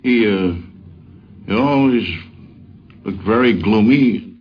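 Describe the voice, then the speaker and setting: A plain-spoken man telling anecdotes about an old colleague in a folksy, halting, informal way. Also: lowish voice. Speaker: former newspaper colleague. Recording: dull, noisy 1950s radio recording.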